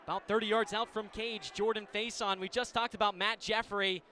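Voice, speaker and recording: An American man doing live radio play-by-play of a game in clipped descriptive phrases, ready to burst into excited calls. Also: sits high, brisk. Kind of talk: live sports play-by-play commentary. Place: indoor stadium broadcast position. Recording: live radio with steady venue noise.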